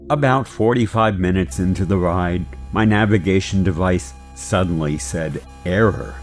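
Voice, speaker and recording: A man reading a scripted first-person scary story as its narrator. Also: low voice. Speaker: narrator of an animated horror story.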